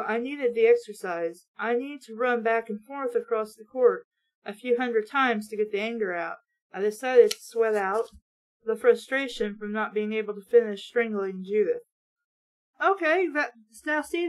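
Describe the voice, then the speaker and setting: A woman reading a book aloud and breaking off into blunt, sweary, sarcastic commentary, with strong opinions. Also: high voice. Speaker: host of a book read-along.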